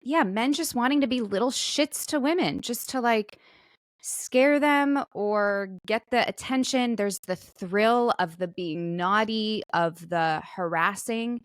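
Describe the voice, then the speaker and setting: A woman's voice: on the high side, slow pace, no room sound, studio quality. A woman co-hosting a podcast in casual comedic chat, frank and wry in a conversational way. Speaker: podcast co-host.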